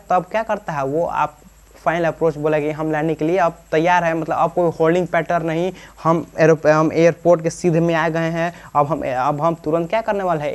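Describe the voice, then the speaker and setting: Higher-pitched Bihari man teaching informally. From Bihar, India. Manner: repeatedly poses questions and answers them himself; colloquial, slips into local dialect. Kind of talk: informal classroom-style explanation.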